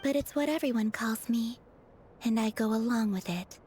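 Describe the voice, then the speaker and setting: Soft, high, enigmatic young woman voiced by an actress. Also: moderate pace, a little hiss or hum, natural voice. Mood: pensive, wistful. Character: gentle, enigmatic, detached young-sounding woman, otherworldly and pensive. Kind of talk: dramatic video game dialogue. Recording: faint game music behind.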